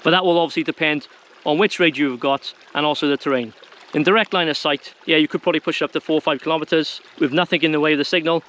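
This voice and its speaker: A man's voice: on the high side, steady tone, fast, fairly bright tone, a little hiss or hum. A man presenting an informal how-to video to viewers in a plain-spoken, conversational way. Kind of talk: informal instructional talk to viewers.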